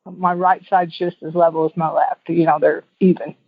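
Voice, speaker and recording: A woman's voice: fairly low pitch, level tone, normal speed, dry recording. Chatty woman on a tinny phone line. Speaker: call-in guest giving a testimonial. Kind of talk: casual rambling personal testimonial. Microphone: telephone.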